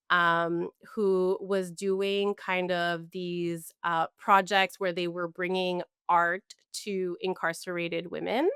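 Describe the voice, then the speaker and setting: Smooth-voiced reflective woman. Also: on the low side, no echo, studio quality. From Canada. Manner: warm, reflective, many fillers and restarts. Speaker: guest, mental health counselor. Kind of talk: conversational interview.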